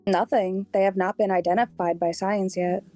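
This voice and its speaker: A woman playing a terse, matter-of-fact radio show assistant with a clipped, deadpan delivery. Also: medium pitch, moderate pace, soft background music, close to an everyday voice. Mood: flat, terse.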